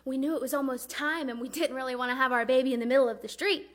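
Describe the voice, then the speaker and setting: A woman performing a dramatic religious monologue as a grieving, devout mother recalling her son, speaking earnestly and deliberately. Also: sits high, fairly even intonation, lightly acted. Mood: fond reminiscence. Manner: earnest, reverent, deliberate storytelling.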